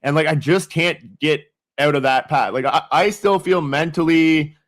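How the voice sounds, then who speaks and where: A man's voice: on the high side, normal speed, a bit bright. Thirtysomething man rambling with "like". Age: 30s. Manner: rambling, leans on "like" constantly. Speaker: podcast co-host. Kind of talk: casual comedy podcast chat.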